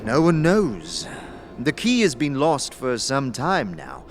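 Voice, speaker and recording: A man voicing a mischievous, worldly fixer in an audio drama, telling old lore in a wry, measured way. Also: mid-range voice, sing-song, soft background music, voice pushed up. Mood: confiding. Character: Sebastian, mischievous worldly male fixer, knowing lore-teller.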